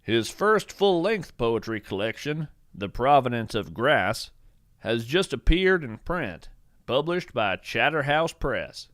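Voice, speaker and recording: A man's voice: medium pitch, very animated, slow pace, a bit bright, dry recording, studio quality. Man playing an eccentric, musing naturalist, widely swooping delivery. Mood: warm, enthusiastic. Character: eccentric male naturalist host, musing, earnest, whimsical. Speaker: podcast host and narrator. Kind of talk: scripted fiction podcast narration with poetry readings.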